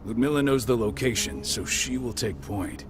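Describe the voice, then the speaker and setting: An actor playing a calm, pragmatic leader who lays out covert plans in a measured, authoritative way in a game. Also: male voice, mid-range voice, natural voice. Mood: businesslike.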